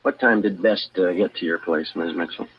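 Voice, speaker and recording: A man playing a terse, businesslike American police detective in a 1950s radio drama, with clipped deadpan delivery. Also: low voice, moderate pace, a little hiss or hum, close to an everyday voice. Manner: clipped, deadpan, matter-of-fact. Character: terse, businesslike male police detective narrating his case. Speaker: lead actor and narrator. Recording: dull vintage radio recording, music behind.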